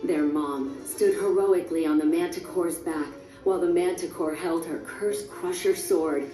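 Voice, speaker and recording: A woman narrates a read-along storybook in a soft, dark-toned voice. Her pitch sits on the low side, and the recording is roomy, with music playing underneath. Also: normal speed, distant mic.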